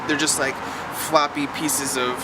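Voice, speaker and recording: A man's voice: a little high, level tone, a little slow. A man chatting casually to his vlog in a rambling, self-correcting way. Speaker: vlogger. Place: mostly outdoors in a yard and neighborhood. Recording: noisy outdoor recording with car and street ambience.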